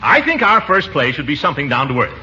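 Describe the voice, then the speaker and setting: Pompous, blustering uncle, swooping pitch. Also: male voice, very animated, fast talker. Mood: boastful. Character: pompous, blustering, self-important comic uncle. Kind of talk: 1940s radio sitcom acting. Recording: muffled, noisy 1940s radio broadcast.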